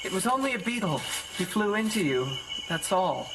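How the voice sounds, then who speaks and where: A performer playing a practical young local in an audio drama, speaking briefly and matter-of-factly with calm reassurance. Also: medium pitch, moderate pace, heavy background noise, close to an everyday voice. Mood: concerned. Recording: slightly distant, loud music over voice.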